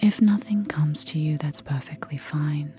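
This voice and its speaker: Female meditation guide, low and gentle, soft breathy whisper, long unhurried pauses, light music underneath. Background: soft background music.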